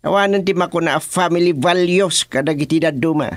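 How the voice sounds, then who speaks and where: A man with an Ilocano Filipino accent playing a gruff, easily exasperated village chairman in a radio comedy drama, with grumbles and sighs. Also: fairly high pitch, brisk, dry recording, clean studio sound, natural voice.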